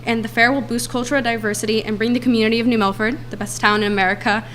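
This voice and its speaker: A woman's voice: a little high, fairly even intonation, fairly bright tone, slight background noise. A young woman, a student, reading a prepared report aloud to a town council in earnest. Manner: earnest, reads quickly from notes. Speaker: student speaker.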